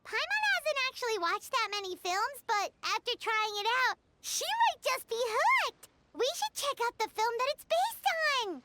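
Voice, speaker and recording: A woman voicing a tiny, eager, cheeky fairy girl companion in a video game, with a squeaky childlike voice and perky delivery. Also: big pitch swings, normal speed, hardly any pauses, heavy background noise. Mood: excited, curious. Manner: perky, speaks of herself in third person. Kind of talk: video game cartoon-like dialogue acting. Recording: studio voice over loud game music.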